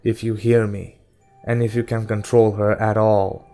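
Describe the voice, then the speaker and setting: A stern gunslinger voiced by a male audiobook reader, quietly menacing and controlled. The voice is low and gravelly, with a soft, even delivery. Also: a little slow, faint music behind. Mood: quiet warning. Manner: even, silky, soft, with sudden growls.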